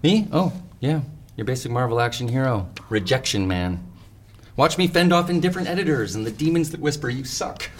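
An actor playing a bitter, sarcastic novelist stuck in an office job, rambling and melodramatic in a satirical audio drama. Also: male voice, medium pitch, lively intonation, brisk, a little hiss or hum, lightly acted. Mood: wounded, self-mocking.

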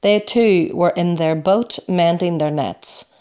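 A woman's voice: on the low side, slow pace, dark tone, clean tone. A woman of the clergy reading from the Gospel in a formal style.

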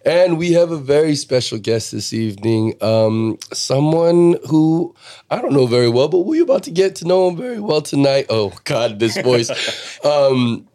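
A man hosting a casual podcast from a backyard, hoarse from cheering, brash and teasing, swearing often and laughing a lot. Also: mid-range voice, animated, normal speed, dark tone. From Korean heritage. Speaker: podcast host. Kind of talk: profane casual podcast chat.

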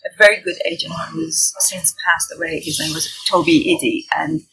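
Bright, gravelly woman in a restaurant. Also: low voice, steady tone, moderate pace. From Iran. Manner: reflective, with frequent restarts and fillers. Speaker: guest. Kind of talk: interview conversation. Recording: noisy restaurant recording. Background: faint restaurant ambience.

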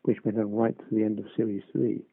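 An older actor reminiscing in an interview, hesitant with many restarts and chuckles. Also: male voice, lowish voice, flat delivery, quick pace, gravelly, breathy edge, no room sound. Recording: dry, tinny phone-like line.

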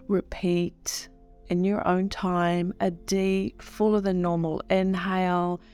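A woman leading a guided meditation in a soothing, unhurried way with long pauses. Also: on the low side, a little mellow, clean tone.

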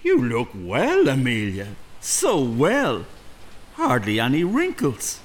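An actor playing a sinister, courteous kidnapper in a radio drama, measured and mock-polite. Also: male voice, mid-range voice, wildly swooping pitch, noisy background. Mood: silky, menacing. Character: sinister, courteous male kidnapper, coldly teasing.

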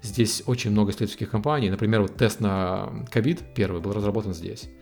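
A man's voice: fairly low pitch, fairly even intonation, fast talker, a little mellow, soft background music. A man chatting casually as a guest, hesitant with many fillers. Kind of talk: casual conversation. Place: living in the United States.

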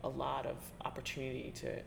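A woman interviewing a colleague in a relaxed, chatty way, often trailing off and restarting and laughing as she talks. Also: rumbling low, a little fast, gravelly, noisy background. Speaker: interviewer, teaching artist. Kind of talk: conversational interview. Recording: reverberant room with faint ambience.

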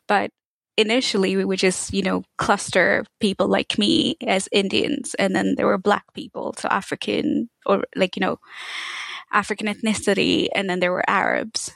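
Woman from Tanzania, interview guest, reflective and halting, on a video call with a dry close sound. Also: mid-range voice, gravelly, no echo. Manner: thoughtful, with frequent fillers. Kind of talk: conversational interview.

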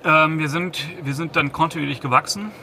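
A man's voice: on the high side, fairly even intonation, brisk, creaky, sharp tone, slight background noise. A man in his thirties, a company founder, giving a business interview, hesitant, with many restarts and fillers. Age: 30s.

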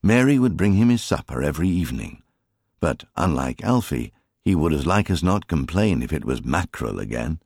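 A man reads a story as an audiobook narrator. His voice is very deep and husky, with wide, expressive swings in intonation. It is recorded dry and close. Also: moderate pace, no room sound.

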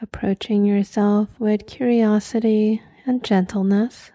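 Very slow, soft woman guiding meditation. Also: medium pitch, fairly even intonation, no echo. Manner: gentle, soothing, unhurried with long pauses. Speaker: meditation guide. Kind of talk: guided meditation.

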